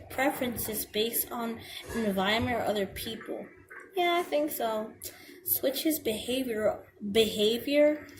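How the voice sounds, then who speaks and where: A young woman with a girlish voice reads a checklist aloud and comments on it hesitantly, stumbling over words and filling pauses. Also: on the high side. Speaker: vlogger. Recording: home video with clear background noise.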